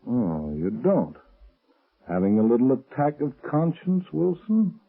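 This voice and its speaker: Casting: sardonic villain, husky, swooping intonation, threatening, vintage radio thriller with tinny sound. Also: male voice, mid-range voice, huge pitch swings, measured pace, full character voice. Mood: sardonic, suspicious. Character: cold, menacing male crime boss, sneering. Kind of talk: 1930s-40s radio drama acting.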